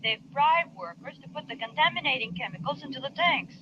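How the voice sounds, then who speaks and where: A woman playing a confident, alert undercover space security agent in crisp, businesslike 1950s radio style. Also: high voice, fairly quick. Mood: urgent, businesslike. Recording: muffled, noisy vintage radio recording.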